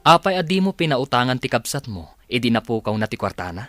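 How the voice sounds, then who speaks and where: Questioning dad, mid-pitched, very animated intonation, radio drama. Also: male voice, brisk, dry recording, faint music behind. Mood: concerned, questioning. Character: concerned, questioning young father of twin girls.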